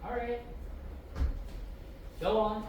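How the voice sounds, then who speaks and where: A woman playing a teasing otherworldly former adjudicator in an audio drama, with a playful, informal, slightly halting delivery. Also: a little high, steady tone, darkish tone, far from the mic. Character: Quench, teasing otherworldly former adjudicator who lives outside time. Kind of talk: audio drama acting, conversational. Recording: very echoey, off-mic, faint noise.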